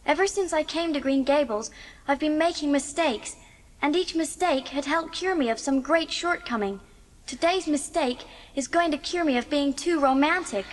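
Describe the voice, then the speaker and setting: A woman's voice: sits high, normal speed, close to an everyday voice. A woman playing a fiery, dreamy young girl with earnest, wordy phrasing in a dramatised audiobook. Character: fiery, dreamy, earnest eleven-year-old orphan girl.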